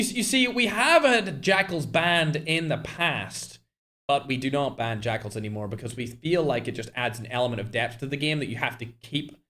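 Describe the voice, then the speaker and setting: A young man hosting and casting a mobile game tournament on a live stream, chatting straight to his viewers. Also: a little high. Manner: chatty, talks straight to chat, animated bursts. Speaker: tournament host and caster. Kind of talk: live-stream esports commentary.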